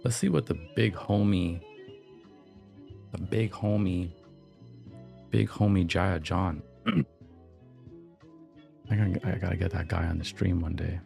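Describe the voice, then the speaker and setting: Low gravelly man, slightly hoarse. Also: a little slow, pauses often. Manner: relaxed, reflective, slangy. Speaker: podcast and livestream host. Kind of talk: casual livestream chat. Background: soft background music.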